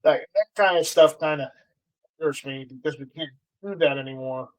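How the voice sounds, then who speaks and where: A man with a fairly high-pitched voice hosts a casual live stream. He moves between reading out comments and loose chat. His delivery is halting, with words often repeated as he goes. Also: low-quality recording.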